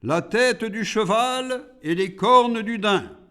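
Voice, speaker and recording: Man voicing Iblis, a high-pitched, proud demon. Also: slow, a bit bright, clear, resonant tone. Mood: disdainful. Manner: disdainful, defiant, declamatory demands. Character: Iblis, proud rebellious male demon, monstrous forger.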